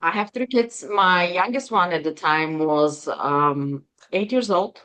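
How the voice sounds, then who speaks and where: A woman in her forties with a Bulgarian accent, a guest telling her story in an interview, hesitant with many pauses. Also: on the low side, fairly bright tone, clean tone. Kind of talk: interview conversation.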